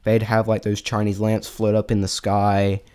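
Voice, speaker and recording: Casting: male amateur reviewer, lowish voice, stammering, unscripted, loses his thread and starts again. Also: monotone, normal speed, a little mellow, clear, resonant tone. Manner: hesitant, stammering, often breaking off mid-thought. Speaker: amateur reviewer sharing opinions. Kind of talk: unscripted, rambling spoken review.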